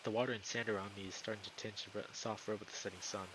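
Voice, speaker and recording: A man's voice: fairly low pitch, flat delivery, racing, noisy background. A man reading a visual novel aloud as a streamer, in dramatic first-person narration.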